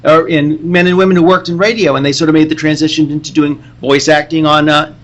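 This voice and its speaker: A man hosting a podcast, casually introducing a live reading in a halting, hesitant way. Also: a little high, flat delivery, fairly bright tone, highs cut off. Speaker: podcast host. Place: comic convention.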